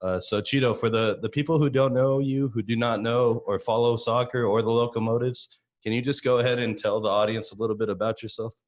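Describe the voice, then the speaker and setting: A man hosting a casual podcast, relaxed and upbeat, giving shout-outs and asking questions. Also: mid-range voice, brisk, few pauses. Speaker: podcast host.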